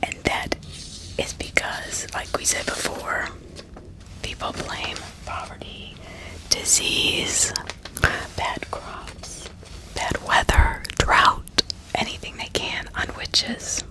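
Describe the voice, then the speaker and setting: A woman narrating true crime in a breathy ASMR whisper, deliberate and often repeating words and phrases. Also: low-quality recording. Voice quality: breathy, mostly unvoiced whisper. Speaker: ASMR host.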